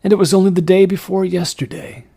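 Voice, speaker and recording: A man performs a plain-spoken village stonemason in a storybook horror tale. He is folksy and earnest, pushing his words out with emphasis, and his voice often lifts higher, with a husky edge. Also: fairly even intonation, fast talker, dark tone, dry recording, studio quality. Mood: puzzled.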